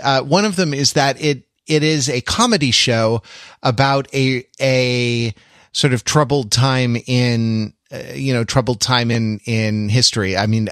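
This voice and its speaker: A man's voice: medium pitch, a bit bright, clean tone, no room sound, studio quality. A middle-aged man co-hosting a podcast, chatting casually in a hesitant way and often repeating words. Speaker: podcast co-host. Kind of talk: casual podcast introduction and chat.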